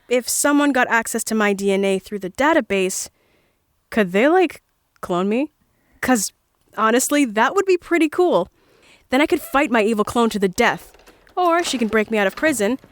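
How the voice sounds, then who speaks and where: A woman's voice: on the high side, lively intonation, moderate pace, dry recording, lightly acted. A woman playing an irreverent, laid-back human prisoner in a comic sci-fi audio drama, casual and sardonic. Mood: sardonic, playful.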